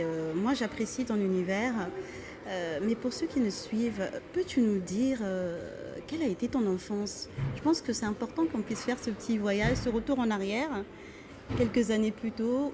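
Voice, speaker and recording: Woman interviewer, chatty and encouraging, kinda high voice, noisy background. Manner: encouraging, conversational. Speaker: interviewer, host. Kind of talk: interview questions.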